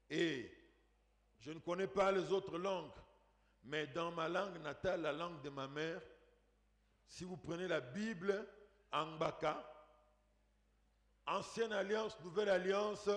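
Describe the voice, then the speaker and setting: A man preaching a sermon as a pastor, in an emphatic, dramatic manner with rhetorical questions. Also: sits high. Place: church hall. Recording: echoey hall with long reverb.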